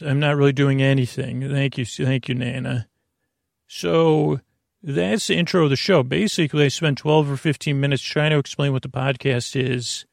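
Gravelly, creaky man rambling for bedtime. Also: medium pitch, no room sound, clean studio sound. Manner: meandering, halting, self-interrupting tangents; whimsical, self-deprecating humour. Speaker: podcast host. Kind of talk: rambling bedtime sleep podcast monologue.

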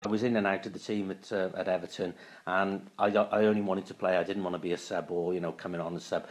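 A man in his late fifties from Wales, a former footballer, talking through his career in a casual interview, often pausing and restarting his sentences. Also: deep voice, flat delivery. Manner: halting, many restarts and fillers.

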